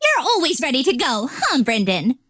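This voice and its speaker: A woman's voice: high-pitched, huge pitch swings, normal speed, fairly bright tone, full character voice. A woman voicing a perky, confident teenage girl Pokémon trainer in anime-style game lines.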